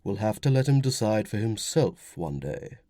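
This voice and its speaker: A man voicing a Roman commander and father in an audiobook, gruff, affectionate and authoritative. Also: fairly low pitch, big pitch swings, moderate pace, warm tone, dry recording, clean studio sound. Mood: gentle reasoning. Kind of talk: audiobook character dialogue.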